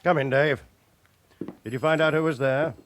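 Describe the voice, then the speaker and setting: An actor playing a no-nonsense chief inspector in a naturalistic radio drama, speaking with dry, matter-of-fact authority. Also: male voice, fairly high pitch, normal speed, fairly bright tone, a little hiss or hum, lightly acted. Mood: businesslike. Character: pressured, no-nonsense male police chief inspector.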